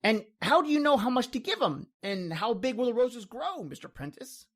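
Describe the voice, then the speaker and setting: A woman's voice: fairly high pitch, very animated, moderate pace, breathy edge. A woman voicing an earnest, muddled young boy helper, hesitant and repetitive, in old-time radio horror style. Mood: puzzled, rambling. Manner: hesitant, repetitive, puzzled questioning.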